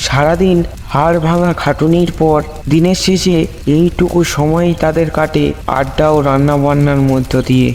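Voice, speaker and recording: A man with a fairly high voice narrates a scripted horror tale. His delivery is that of a storyteller, and long reverb trails each phrase. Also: fairly even intonation, normal speed, a little mellow, slight background noise.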